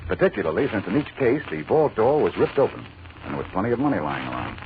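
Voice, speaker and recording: A man's voice: fairly low pitch, big pitch swings, fast talker. An actor playing a grave, methodical male crime investigator in a 1940s radio drama, serious and probing. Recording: muffled, hissy 1940s radio recording.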